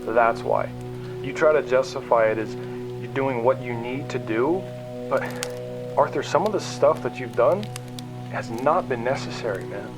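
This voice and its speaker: Guy acting frustrated mechanic dude in a scifi audio drama, regular pitch, casual and argue-y, loud music under it. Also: measured pace, close to an everyday voice. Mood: hurt, accusing. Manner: casual, conversational, argumentative. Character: frustrated young-ish male mechanic hurt by estranged best friend.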